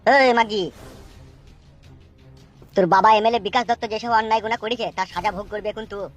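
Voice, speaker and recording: Man playing a fast, high-voiced cartoon henchman. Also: steady tone, soft background music, natural voice. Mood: threatening. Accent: rural West Bengal. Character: surly young male henchman. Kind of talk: comic cartoon dub.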